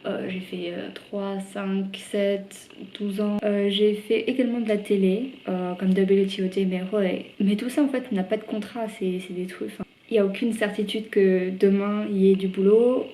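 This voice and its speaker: A woman's voice: mid-range voice, steady tone, normal speed, dark tone, a little hiss or hum. A young French woman chatting to camera in a vlog, talking freely with lots of fillers and restarts. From France. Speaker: vlogger. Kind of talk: casual chat to camera.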